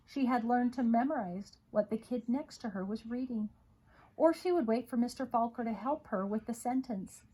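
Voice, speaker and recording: A woman with a husky voice reading a children's story aloud in an expressive, dramatic way. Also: fairly high pitch, moderate pace, rough recording. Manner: dramatic, with emphatic repetition and hushed moments. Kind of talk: expressive read-aloud narration.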